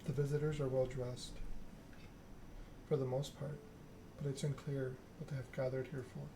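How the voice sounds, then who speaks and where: A man streaming a text game, reading narration aloud in a soft-spoken ASMR style with casual chat. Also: medium pitch, level tone, normal speed. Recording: clear background noise.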